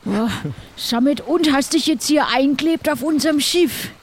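Very high-pitched player as chummy seafarer. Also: normal speed, slight background noise. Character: chummy, seasoned seafarer chatting with crew. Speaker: player in improvised audio play. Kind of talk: improvised audio drama role-play.

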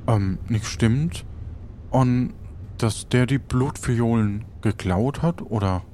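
Hesitant, stammering man, average-to-low pitch. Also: slow, mellow. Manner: hesitant, stammering, repeats words. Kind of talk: improvised roleplay, narrating and speaking in character. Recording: faint background noise.

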